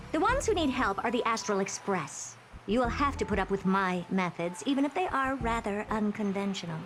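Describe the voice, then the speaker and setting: An actress playing a haughty, sharp-tongued young woman genius in a video game, self-assured and condescending. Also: medium pitch, lively intonation, normal speed, close to an everyday voice. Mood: impatient. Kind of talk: scripted video game dialogue. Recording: game voice with clear music behind.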